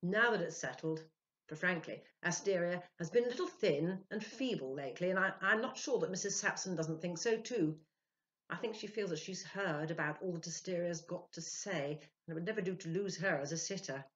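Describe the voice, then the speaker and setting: A woman's voice: fairly low pitch, normal speed, pitched above the performer's own voice, close to an everyday voice. A woman in her sixties voicing an earnest, self-important male spirit medium in a storybook reading, emphatic and self-justifying. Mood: confiding. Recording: dull lo-fi home recording.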